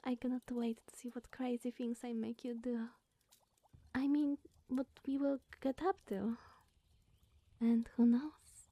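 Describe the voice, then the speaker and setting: A woman playing a lonely, awkward immortal witch in an ASMR roleplay, speaking in a breathy, whispery, hesitant and coy way. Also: on the high side, little pitch movement, measured pace, warm tone, dry recording. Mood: giddy, coy.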